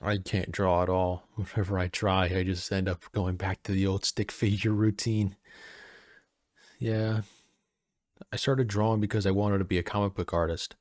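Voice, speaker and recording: A man playing a friendly, easygoing personal assistant at a manor in an audio roleplay, soft-spoken, casual and a little hesitant. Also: low-pitched, no room sound, clean studio sound. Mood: friendly, self-deprecating.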